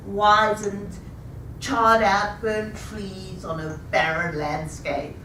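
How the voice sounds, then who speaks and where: Woman, fifties, rehearsed personal story, unhurried, recorded far off in a roomy hall with audible background noise. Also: medium pitch, a bit bright. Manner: measured, deliberate delivery. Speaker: speaker. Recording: echoey room, taken from a distance.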